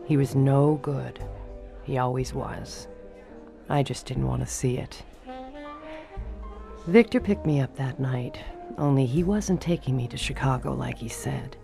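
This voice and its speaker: Low, gravelly, wistful torch singer. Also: female voice, unhurried, pauses often, over music, heavily acted. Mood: wistful, subdued. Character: world-weary female nightclub torch singer, wistful and stubborn. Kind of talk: noir radio drama acting.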